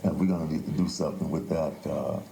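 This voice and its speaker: A man's voice: very deep voice, steady tone, quick pace, vocal fry, dark tone. An older man, a playwright, telling stories in an interview conversation. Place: indoors at a theater. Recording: echoey room, faint background noise.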